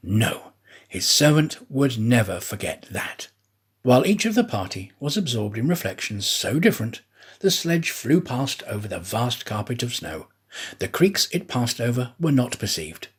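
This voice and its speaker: A man reading a classic adventure story aloud as an audiobook narrator, with a deliberate, dramatic storytelling delivery. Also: medium pitch, sing-song, breathy edge. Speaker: audiobook reader, actor.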